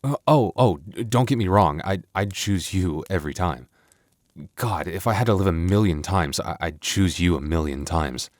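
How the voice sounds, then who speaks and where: A man's voice: low voice, expressive, moderate pace, a little mellow, no room sound, studio quality. A man playing a young werewolf boyfriend, tender but protective with a tough edge, in an intimate ASMR roleplay. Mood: tender, devoted.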